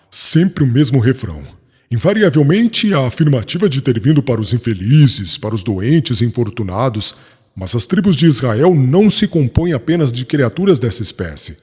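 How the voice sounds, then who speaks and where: A man's voice: medium pitch, very animated, normal speed, a little mellow, husky. A man playing a passionate, proud young fiancé in an audiobook drama, with theatrical, emphatic, formal delivery. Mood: indignant, anguished. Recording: long reverb, music behind.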